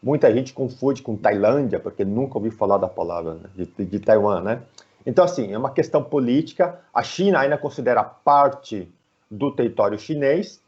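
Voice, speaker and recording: A man with a Brazilian Portuguese accent explaining things conversationally as an interview guest, halting and often adding little tag questions, on dull online call audio. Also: medium pitch, expressive, darkish tone, husky. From São Paulo, Brazil. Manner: halting, frequently tagging phrases with "né".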